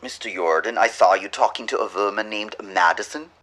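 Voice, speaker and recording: A man's voice: fairly low pitch, brisk, pushed and bright. An actor playing an insistent, mysterious Swedish man with a Swedish accent in a comedy audio drama. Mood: urgent, insistent.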